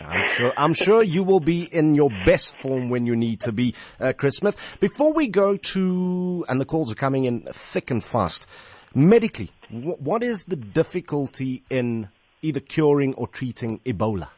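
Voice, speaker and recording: Lively radio host. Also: male voice, medium pitch, normal speed, no echo. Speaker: radio talk show host. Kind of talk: hosting a call-in radio show.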